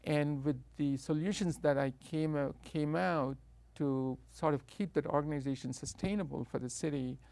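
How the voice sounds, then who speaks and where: A middle-aged man from South India with a South Indian accent, telling his life story as an interview guest, pausing often on fillers and restarts. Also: fairly high pitch, creaky, darkish tone, dry recording. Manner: hesitant, many uh fillers and restarts.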